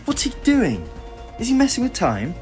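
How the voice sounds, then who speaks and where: A man's voice: very high voice, wildly swooping pitch, moderate pace, darkish tone, faint music behind, pitched above the performer's own voice, exaggerated voice. A man voicing an insistent, indignant, inquisitive time traveller in an audio story. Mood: puzzled, agitated.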